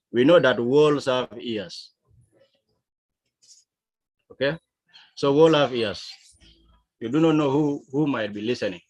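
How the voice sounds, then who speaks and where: A man from South Sudan with a South Sudanese accent, teaching a lesson in a deliberate, emphatic manner. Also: medium pitch, poor audio. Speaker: instructor. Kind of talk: digital literacy lesson, explaining to learners.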